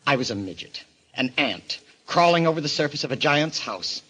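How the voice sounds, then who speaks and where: Intense, grandiose explorer, forceful animated voice. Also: male voice, fairly high pitch, moderate pace, breathy edge. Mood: brooding, fervent. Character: obsessed, arrogant male explorer enthralled by a cult of destruction. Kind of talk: old-time radio mystery acting.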